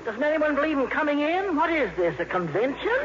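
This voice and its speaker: An older woman playing a brisk, bossy but caring grandmother in a 1950s radio drama, with a commanding manner. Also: sits high, big pitch swings. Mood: brusque. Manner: brusque, commanding. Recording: muffled, noisy old 1950s radio recording.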